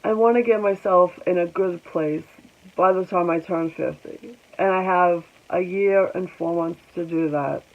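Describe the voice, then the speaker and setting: Woman, forties, congested, slow halting vlog talk, gravelly, tinny phone-like sound. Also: fairly low pitch. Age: 40s. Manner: halting, reflective, with many pauses and ums. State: congested, clearing her throat often. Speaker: vlogger. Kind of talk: personal vlog talking to viewers.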